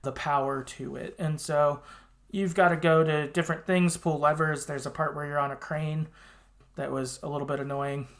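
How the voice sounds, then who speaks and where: A man's voice: on the high side, steady tone, normal speed. A man hosting a casual podcast, talking informally and supportively with loose, sweary phrasing. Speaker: podcast host.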